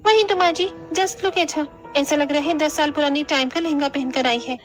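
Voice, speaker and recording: A woman's voice: sits high, normal speed, soft background music, natural voice. A woman voicing a vain, mocking, fashion-obsessed young daughter-in-law in a cartoon, with an affected manner. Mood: catty.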